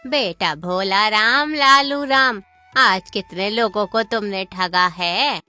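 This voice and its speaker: A woman acts out a scheming old village mother in a folk tale. The voice is sharp and bright, drawn out very slowly, with honeyed slyness and music underneath. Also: mid-range voice, smooth. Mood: expectant. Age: older. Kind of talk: Hindi folk tale voice acting.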